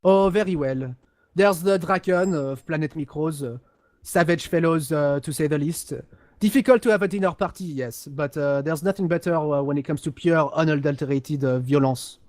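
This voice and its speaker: A man acts out a haughty, highborn gentleman of a galactic empire, pitched high and recorded close and dry. He is condescending and pompous, picking his words haltingly in a game lore role-play. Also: no echo, natural voice. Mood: aloof.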